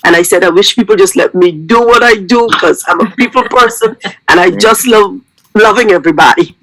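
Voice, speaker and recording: A middle-aged woman shares heartfelt remarks with colleagues at a staff meeting. She is earnest and effusive, with a medium-pitched voice. The sound is dry, with little room in it. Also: fairly quick, a bit bright, no room sound, poor audio. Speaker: school counselor.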